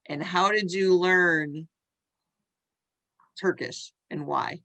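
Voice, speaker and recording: Gentle woman asking encouraging questions. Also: on the low side, extremely slow, vocal fry. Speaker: podcast host. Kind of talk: casual interview chat.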